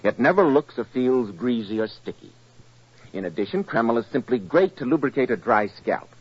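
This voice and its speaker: A man's voice: medium pitch, lively intonation, moderate pace, slightly rough. An American man announcing in polished, emphatic 1940s radio sales style. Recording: muffled 1940s radio recording.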